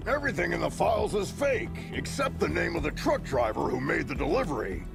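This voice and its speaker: An actor playing a gruff, serious law officer with a gravelly voice in a video game cutscene. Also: male voice, a little high, very animated, a little fast, heavily acted. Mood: serious, analytical. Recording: game music behind.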